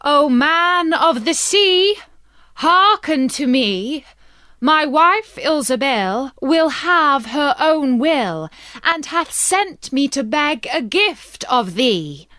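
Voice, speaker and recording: A woman performs a humble, reluctant fisherman from a bedtime fairy tale. He sounds doleful and pleading, speaks slowly and swings widely in pitch, in a very dry recording. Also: animated, sharp tone, dry recording, full character voice. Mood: chanting plea. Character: meek, reluctant poor old-tale fisherman.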